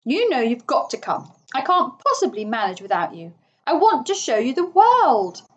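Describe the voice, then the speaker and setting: Woman as male toad, storybook character, high swooping voice, grandiose, excitable, slightly muffled recording. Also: moderate pace. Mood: imploring. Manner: exclamatory, gushing, grandiose. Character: boisterous, boastful male toad, excitable and impulsive.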